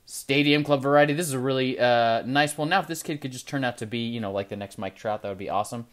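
Male card-breaker host, casual desk chat, medium pitch, bursts of excitement then mumbled asides. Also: fast. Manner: chatty, excited, trails off quietly while looking at cards. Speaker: trading card channel host. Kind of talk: casual pack-opening commentary. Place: indoor desk with card display. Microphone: camera over the desk, hands only in frame.

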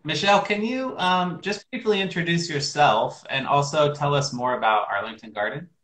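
A man's voice: on the high side, normal speed, fairly bright tone. A man, casually hosting an interview on a video call from a small room. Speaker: interview host. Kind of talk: casual hosting, asking questions. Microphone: shared device at arm's length. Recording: roomy, echoing video call.

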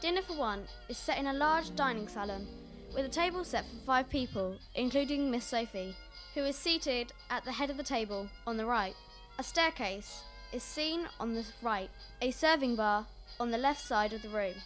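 A woman's voice: a little high, lively intonation, normal speed, a bit bright, soft background music. A young woman reading scripted stage narration for a radio play. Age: young adult.

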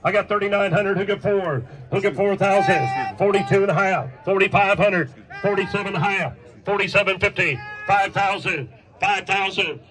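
A man working as an auctioneer, calling bids in a rapid, rhythmic chant at an outdoor estate sale. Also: high-pitched. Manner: rhythmic repetitive bid calling. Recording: distant voice outdoors.

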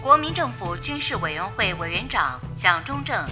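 An actress playing a solemn 1930s wartime radio announcer, reading formally with precise declamation. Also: female voice, medium pitch, big pitch swings, normal speed, lightly acted. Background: music.

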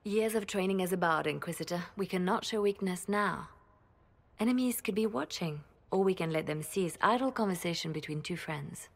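Poised spymaster, lower voice, calm and formal. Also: female voice. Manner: composed, measured, softly formal. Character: poised woman spymaster, former bard, calm. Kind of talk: video game voice acting.

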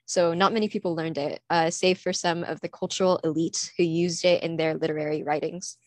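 A woman's voice: deep voice, fairly even intonation, a little mellow, dry recording. A teenage girl from California giving a prepared student presentation at an online conference, earnest and reading steadily from her slides. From California, USA. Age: teens. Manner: earnest, reads from slides with small pauses. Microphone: computer mic, online conference.

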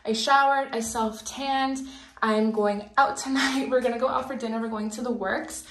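A woman's voice: a little high, fairly even intonation, normal speed, echoey room, background noise. A woman in her twenties chatting casually to her phone camera in a mirror, bubbly and full of "like" and "you guys". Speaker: lifestyle vlogger. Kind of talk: casual vlog chat to camera. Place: small indoor hallway.

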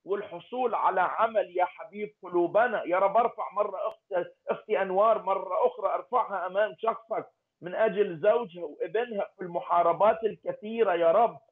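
Pleading high-voiced man, phone-thin sound. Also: quick pace. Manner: pleading, repetitive petitions naming people one by one. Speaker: prayer leader. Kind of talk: fervent intercessory prayer. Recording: tinny phone-like line.

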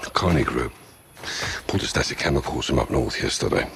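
A man playing a gruff, wary, middle-aged British military captain with a British accent in a video game cutscene. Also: a bass voice, lively intonation, brisk, fairly bright tone, a little hiss or hum, heavily acted. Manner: gruff, terse military talk.

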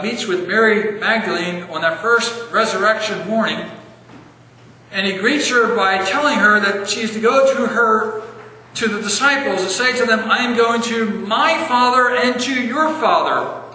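A man in his forties preaching an earnest sermon as pastor, slowing down to stress key phrases, recorded in a church sanctuary. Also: sits high, bright, forceful tone. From American. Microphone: stand mic a step away, filmed from far camera. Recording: echoey church hall, distant mic.

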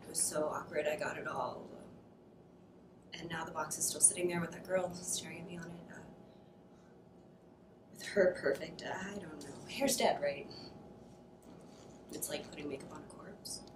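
A young woman acting a hurt, sarcastic daughter who works at a coffee shop, halting and awkward in a naturalistic dramatic scene. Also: low-pitched, lightly acted. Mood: awkward, guarded. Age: young adult. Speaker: actor. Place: indoor studio against blue backdrop. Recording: roomy, distant mic, noticeable noise.

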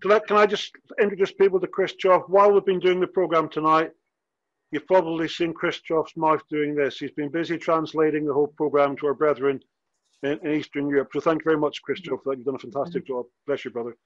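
A middle-aged man hosting a video call, brisk and encouraging, wearing a headset. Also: a little high, steady tone, dry recording. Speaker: host. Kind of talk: casual chat. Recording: dry, dull lo-fi call audio.

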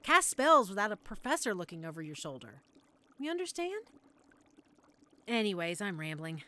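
A woman's voice: medium pitch, very animated, moderate pace, bright, forceful tone. A woman playing a chatty, flustered young witch-in-training shopkeeper in an audio roleplay, with a theatrical, rambling manner. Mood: chatty, sympathetic. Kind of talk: scripted audio roleplay, addressed to the listener.

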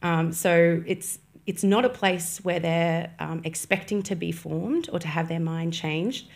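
A young woman answering interview questions in a studio, measured and explanatory with frequent pauses. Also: lowish voice, creaky. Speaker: guest on church music. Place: studio set with armchairs. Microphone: boom-mounted podcast mic.

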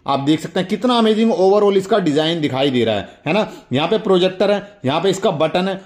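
Fast-talking man with a high-pitched voice. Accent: Indian. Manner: chatty, repetitive, addresses viewers directly. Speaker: toy review video host. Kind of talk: casual hands-on product demonstration.

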